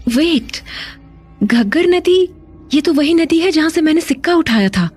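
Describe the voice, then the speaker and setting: A man voicing an earnest, questioning young woman with dramatic delivery in an animated horror story. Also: very high-pitched, a little slow. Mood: surprised, questioning. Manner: dramatic, mixes in English phrases. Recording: faint music behind the voice.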